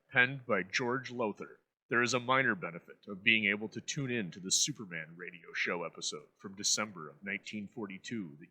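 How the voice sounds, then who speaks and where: A man hosting an old-time radio show, reading scripted narration with formal, measured phrasing. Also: lowish voice, bright, forceful tone, poor audio. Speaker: host introducing old radio episodes.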